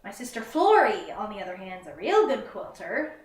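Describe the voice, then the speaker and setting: A woman's voice: medium pitch, sing-song, fairly quick, a little hiss or hum, natural voice. Young woman acting a folksy chatty country girl, proud and plainspoken, echoey room, far from mic. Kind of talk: theatrical character monologue. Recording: roomy, echoing, far from the mic.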